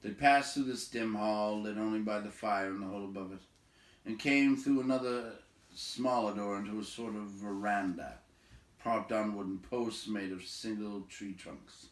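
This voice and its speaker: A man's voice: fairly low pitch, moderate pace. A man reading a storybook aloud as narrator. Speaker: audiobook reader. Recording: echoey room, slightly far from mic.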